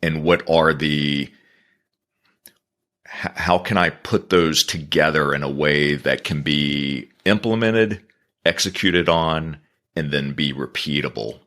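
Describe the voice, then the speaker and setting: A man answering as a guest on a business podcast, earnest and measured, building his points in repeated phrases. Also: extremely low pitch, a bit bright.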